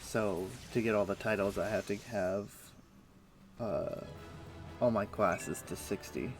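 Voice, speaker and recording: A man's voice: lowish voice, slow, music in the background. A man streaming a game, chatting casually and explaining things in a loose, rambling way full of fillers. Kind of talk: casual chat while playing.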